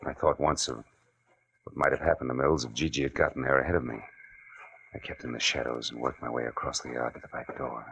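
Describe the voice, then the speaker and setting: An actor playing a tough, wry private detective in an old-time radio drama, terse and sardonic. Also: male voice, very deep voice, fairly even intonation, brisk, creaky, fairly bright tone, slightly rough, exaggerated voice, poor audio. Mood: tense, narrating.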